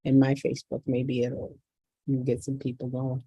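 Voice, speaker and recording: A woman's voice: extremely low pitch, monotone, normal speed, dark tone, slightly rough, dry recording, low-quality recording. A woman from the Bronx whose first language is Spanish, asking questions on a video call and thinking aloud. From United States, the Bronx. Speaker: call participant. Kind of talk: casual Q&A discussion.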